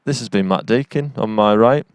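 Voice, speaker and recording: Low, smooth-voiced northeastern man. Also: moderate pace, no room sound. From northeast England. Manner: joking, relaxed, playful. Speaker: band frontman. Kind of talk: casual band chat. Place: outdoors.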